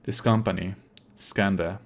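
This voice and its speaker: A man's voice: sits low, fairly even intonation, measured pace, creaky, slight background noise. A man doing live let's play commentary as a streamer, sardonic and indignant, swearing freely and at times reading game lines aloud.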